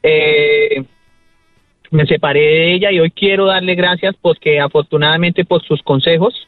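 A male caller with a Colombian accent speaks earnestly in a slightly high voice. He comes through a narrow phone line with faint music behind. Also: steady tone, normal speed, dry recording. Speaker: caller to a radio show. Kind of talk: earnest personal testimony.

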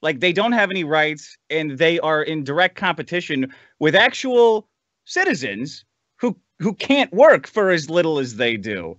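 A man's voice: fairly high pitch, big pitch swings, unhurried, a bit bright, no room sound, muffled recording. A man speaking as a podcast panelist in a casual political debate, opinionated and casually profane.

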